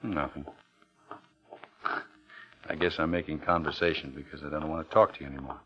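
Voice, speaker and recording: Guarded noir man, very deep, sharp-edged voice, terse, coaxing, vintage radio drama, dull recording. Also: fairly even intonation, brisk. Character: guarded, smooth-talking noir man with a secret burden. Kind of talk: 1950s radio drama acting with noir narration. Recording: dull old radio recording, music underneath.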